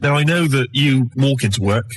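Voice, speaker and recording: Hesitant British man with a husky voice. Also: medium pitch, lively intonation, moderate pace. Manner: hesitant, rambling. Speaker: radio show presenter. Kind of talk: casual radio chat.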